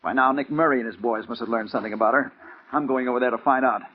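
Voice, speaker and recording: A man's voice: medium pitch, racing, slightly rough, lightly acted. An actor playing a driven, hard-boiled male investigator in a vintage radio noir drama, urgent and clipped, telling his own story. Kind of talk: 1950s radio noir acting with first-person narration. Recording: noisy, muffled vintage radio recording.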